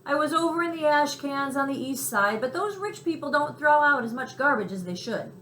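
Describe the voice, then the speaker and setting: A woman voicing a smooth, polite, friendly male city cat in a storybook, with a silky manner. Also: high voice, lively intonation, a little fast, a bit bright, pitched above the performer's own voice. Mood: mildly grumbling. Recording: roomy echo, faint background noise.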